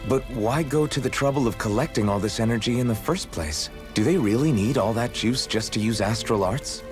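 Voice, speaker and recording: An actor playing an earnest, questioning young freedom fighter in a video game, unsure of himself. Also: male voice, medium pitch, a little fast, music in the background, natural voice. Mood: curious.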